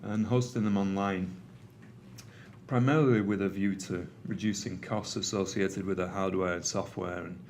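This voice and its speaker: A man's voice: low voice, steady tone, moderate pace, darkish tone, a little hiss or hum. A middle-aged man with a Manchester accent, giving a conversational technical presentation to a room, with frequent ums and uhs. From England. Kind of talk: conference presentation. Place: meeting room with an audience.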